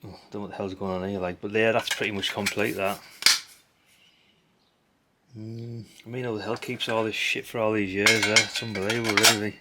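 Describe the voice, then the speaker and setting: A man's voice: lowish voice, level tone, a little fast, very noisy. A middle-aged man, giving casual, unscripted commentary in a chatty, rambling, blunt way. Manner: chatty, rambling, blunt mild swearing, tag questions. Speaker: hobbyist video host. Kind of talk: casual unscripted commentary while sorting old parts.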